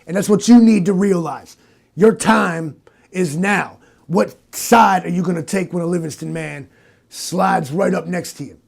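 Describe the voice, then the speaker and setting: A man's voice: high-pitched, measured pace, exaggerated voice. Man putting on a gritty, cranky old-school detective. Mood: urgent warning. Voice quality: gritty, creaky. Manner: streetwise, slangy, badgering, swaggering. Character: gruff, cranky, salty seasoned male police detective. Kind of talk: hardboiled detective parody in improvised comedy.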